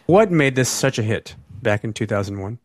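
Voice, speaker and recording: A man's voice: medium pitch, animated, normal speed, slight background noise. A middle-aged man hosting a conversational radio interview. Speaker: radio host.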